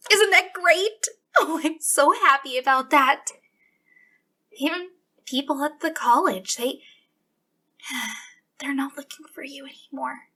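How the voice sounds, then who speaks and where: A woman playing an obsessive, sweetly menacing female captor in an ASMR roleplay, speaking intimately in a breathy voice that slips into whispers. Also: high-pitched, sharp tone, full character voice. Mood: giddy, possessive. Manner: breathy delivery slipping into whispers, lingering pauses.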